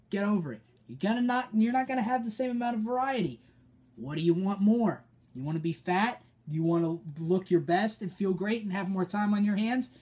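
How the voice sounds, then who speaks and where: A young American man talks like a personal trainer on a casual vlog. His voice is mellow and husky, with a direct, emphatic way of instructing. He is seated indoors, a short way from the camera. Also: sits high. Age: young adult. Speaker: personal trainer giving advice. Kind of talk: informal vlog coaching. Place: small dim room indoors, seated at a desk.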